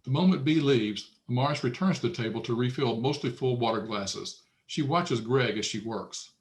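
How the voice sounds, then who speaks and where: A man's voice: mid-range voice, moderate pace. A man narrating stage directions matter-of-factly at a screenplay table read.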